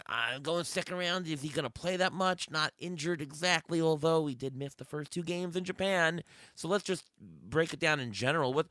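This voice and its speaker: A hoarse man hosting a sports podcast, firing off questions and thinking aloud in casual chat. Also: a little high, pushed and bright, no echo. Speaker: podcast host.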